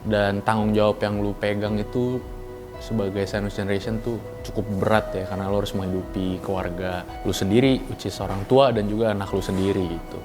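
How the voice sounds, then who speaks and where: A man's voice: fairly low pitch, fairly even intonation, a little fast, over music. A man in his mid twenties giving casual, colloquial opinions in an interview. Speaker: campaign officer being interviewed.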